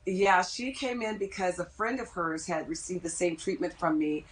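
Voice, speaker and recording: A middle-aged woman speaking as a dentist in an interview, explaining things in a measured way. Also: on the low side, fairly even intonation, low-quality recording. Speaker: dentist interviewed as expert guest.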